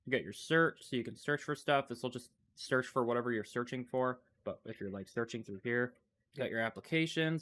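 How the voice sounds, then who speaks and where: A man with a blocked, stuffy nose talks in a relaxed, unscripted way as he walks through software on screen. He thinks aloud, wonders about things and trails off mid-thought. His pitch sits in an ordinary middle range. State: stuffy, congested from a cold. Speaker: tech channel host. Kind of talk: casual screen-recording commentary.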